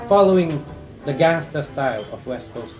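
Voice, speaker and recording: A man from the Philippines hosting a casual chat, speaking haltingly and often repeating his words. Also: a little high, animated. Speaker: host. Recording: echoey room with loud music.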